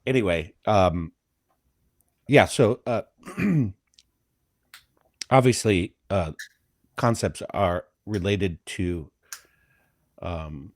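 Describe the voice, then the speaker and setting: A man from Michigan with a Midwestern accent, talking in a soft, easy voice and pausing often with ums. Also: sits low, no echo, studio quality. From Flint, Michigan. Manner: slow, hesitant, many ums. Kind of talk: casual discussion.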